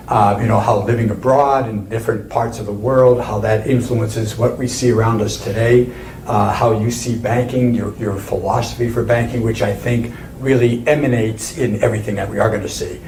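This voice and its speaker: A man's voice: on the low side, fairly even intonation, a little fast, a little mellow. A man hosting a webinar interview, with friendly, slightly rambling introductions. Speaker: webinar host. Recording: echoey room with faint noise.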